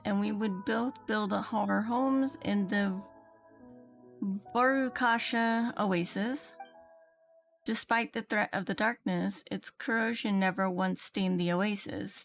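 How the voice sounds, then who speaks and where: Girl streaming a game, chatty n casual, talking to herself, mid pitched voice, music playing behind her. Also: creaky. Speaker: livestreamer. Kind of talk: casual game stream chat, reading in-game dialogue aloud. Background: video game music.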